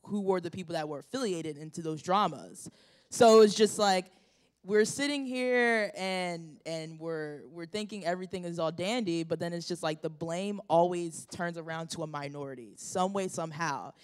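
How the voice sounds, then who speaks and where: A student argues a point in a group discussion. The voice is smooth and clear. The speech rambles, with many fillers, and the points land with emphasis. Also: mid-range voice, moderate pace. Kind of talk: casual spoken opinion.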